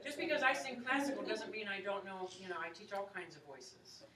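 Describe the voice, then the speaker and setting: A woman's voice: medium pitch, animated, fairly quick, crowd noise. A woman in her forties, an opera singer and voice teacher, chatting in an encouraging way with students and taking their questions. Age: 40s. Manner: chatty, encouraging, many "you know"s. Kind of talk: casual talk and Q&A with students. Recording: echoey room, far from mic, faint hiss.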